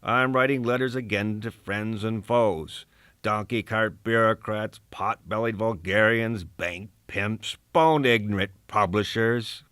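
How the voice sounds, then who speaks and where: A man's voice: on the low side, a little slow, a bit bright, dry recording, studio quality. A man playing an embittered, defiant old poet locked away, delivered as a combative, declamatory dramatic soliloquy. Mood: grim, scornful. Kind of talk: dramatic first-person soliloquy.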